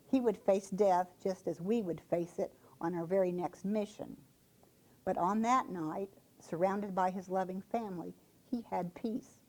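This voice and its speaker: A woman reading a reflective first-person story aloud in a solemn, measured manner. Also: fairly low pitch, warm tone, rough recording. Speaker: reader at a reader's theater.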